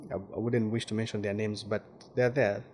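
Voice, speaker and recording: A man's voice: lowish voice, level tone, normal speed, a little mellow. Ugandan man, thoughtful, faint hiss. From Western Uganda. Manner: thoughtful, repeats words while searching for them. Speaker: guest journalist. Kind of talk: casual interview talk.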